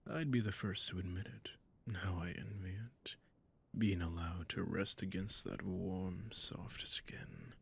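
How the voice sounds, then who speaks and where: A suave, sadistic vampire lord, acted by a man speaks in a deep, husky near-whisper that crackles with fry. He is possessive and condescending, wrapping threats in silky courtesy. The delivery is intimate roleplay audio, unhurried and menacing. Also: exaggerated voice. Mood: silky, teasing. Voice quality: breathy, gravelly, near-whispering. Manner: slow, silky, mock-courteous with condescending endearments.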